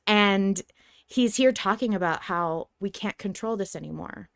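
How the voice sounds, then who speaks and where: Female podcast co-host, mid-pitched, casual and emphatic fan chatter. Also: measured pace, vocal fry, fairly bright tone, clean tone. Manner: emphatic, informal.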